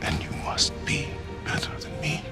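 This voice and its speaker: An actor playing a grave, burdened warrior father, slow and solemn, with a gravelly, breathy voice. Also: lightly acted. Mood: grave, pained. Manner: slow, weighty delivery. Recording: music behind the voice.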